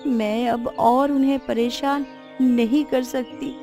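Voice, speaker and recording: A woman voicing a sorrowful, self-blaming village woman in a cartoon moral tale, with slow, dramatic delivery. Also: a little high, steady tone, warm tone, soft background music. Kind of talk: Hindi cartoon story voice acting.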